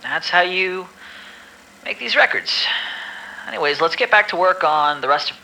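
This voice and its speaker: A man's voice: high voice, expressive, normal speed, vocal fry, pushed and bright, exaggerated voice, rough recording. A man playing an irritable, cynical staff member who records medical files in an amateur audio drama, shifting between dry formal reading and exasperated grumbling.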